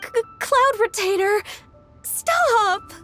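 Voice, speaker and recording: A woman voicing a gentle, polite young half-immortal secretary in a video game, courteous and earnest. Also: very high voice, expressive, extremely slow, faint music behind, exaggerated voice. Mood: flustered.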